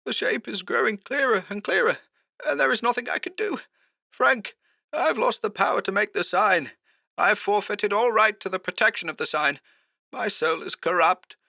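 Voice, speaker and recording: A man doing a shrill, frantic horror writer. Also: moderate pace, creaky, bright, forceful tone, dry recording, studio quality, heavily acted. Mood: terrified. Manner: high-pitched, shrill and strained. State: hoarse. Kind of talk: audiobook character voice.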